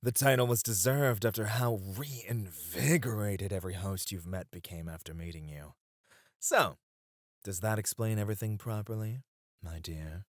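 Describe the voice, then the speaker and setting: A man's voice: lowish voice, normal speed, no echo, studio quality, close to an everyday voice. A man acting as a flirtatious, devoted bunny club host in an ASMR roleplay, with a teasing, courtly, eager-to-please manner. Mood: warm, attentive.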